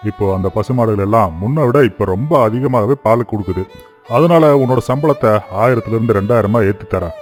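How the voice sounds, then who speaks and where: A man playing a kindly, practical village farmer and employer in an animated moral story, speaking with measured authority. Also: on the low side, faint music behind, natural voice. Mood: approving, kindly.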